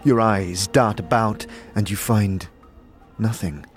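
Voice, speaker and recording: A man narrating a fantasy audio drama with measured, theatrical storytelling in a dramatic scripted style. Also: on the low side. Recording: prominent music behind the narration.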